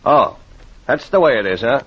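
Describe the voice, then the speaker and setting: An actor playing a thuggish, blustering cheap detective in vintage radio drama, with a husky voice and slangy tough talk. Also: male voice, medium pitch, animated, moderate pace, fairly bright tone. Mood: menacing. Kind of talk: 1940s-50s radio drama acting. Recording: dull vintage radio recording.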